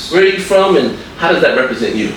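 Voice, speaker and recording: A man's voice: on the high side, lively intonation, fast. A man hosting a school podcast in a casual, joking way, full of fillers and asides. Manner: chatty, joking, lots of fillers. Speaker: podcast host. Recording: echoey room, distant mic, faint noise.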